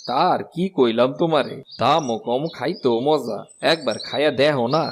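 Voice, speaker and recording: A man doing a pushy low-voiced stall owner. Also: moderate pace, a little hiss or hum, close to an everyday voice. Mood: coaxing. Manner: coaxing, persuasive. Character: pushy male rival food stall owner.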